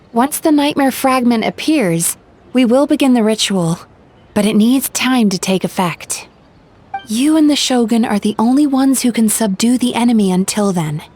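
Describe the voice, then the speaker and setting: High-voiced, composed young sage. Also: female voice, darkish tone. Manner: measured, formal, counselling. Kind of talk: anime game dialogue acting. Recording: voice over game music. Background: game music.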